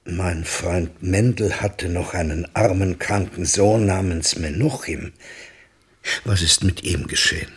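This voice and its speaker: Husky, courteous older gentleman. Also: deep voice, measured pace, exaggerated voice. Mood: careful, concerned. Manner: formal, polite, careful. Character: courteous, tactful older man, loyal family friend. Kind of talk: German radio play acting.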